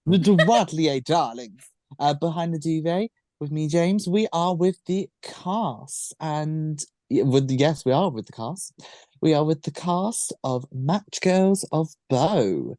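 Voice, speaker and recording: A woman hosting a casual group interview, asking questions in a rambling, halting way. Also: medium pitch, expressive, a little mellow, no echo, clean studio sound. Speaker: podcast host.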